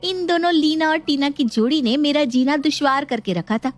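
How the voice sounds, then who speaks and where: A woman's voice: high-pitched, normal speed, soft background music, natural voice. A woman playing a put-upon young daughter-in-law, polite yet scheming, in an acted moral story. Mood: gloating.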